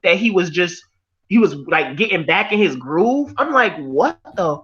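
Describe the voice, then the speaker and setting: A man's voice: sits high, unhurried. A man hosting an online stream, talking animatedly in a casual group chat, restarting his sentences often. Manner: animated, rambling with many restarts. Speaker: stream host. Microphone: online voice call. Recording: dull lo-fi audio.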